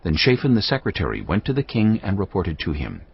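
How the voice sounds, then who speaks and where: A man narrating a dramatised scripture reading in a measured, solemn storytelling manner. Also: low-pitched, wildly swooping pitch, breathy edge. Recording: slightly dull audio with music bed.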